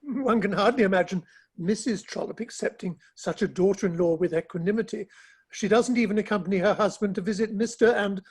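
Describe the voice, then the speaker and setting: A woman playing a curious, sensible Victorian confidante in a play reading, polite, measured and gently probing. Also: mid-range voice, husky. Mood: surprised, arch. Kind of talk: online dramatic play reading.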